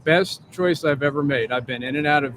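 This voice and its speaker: A man's voice: fairly high pitch, a little fast, fairly bright tone. A man working as a case manager, talking candidly and plainly about his past, outdoors. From Ohio, raised in Columbus. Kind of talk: casual outdoor interview. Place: outdoors on a bench by a street. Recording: street noise behind.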